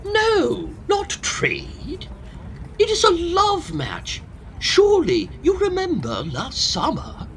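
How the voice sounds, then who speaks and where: Pompous professor, wildly swooping high voice. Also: male voice, wildly swooping pitch, takes it slowly, fairly bright tone, slight background noise, exaggerated voice. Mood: insistent. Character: eccentric, self-justifying professor and antiquities collector, husband. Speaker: actor in an audio farce. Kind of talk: comic period radio-play acting.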